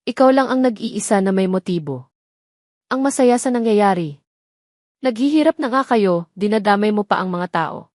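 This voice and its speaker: A woman's voice: mid-range voice, expressive, moderate pace, a little mellow, no room sound, clean studio sound, natural voice. A woman voicing a malicious, haughty female rival in an audio drama, accusing with mock indignation. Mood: scornful, accusing.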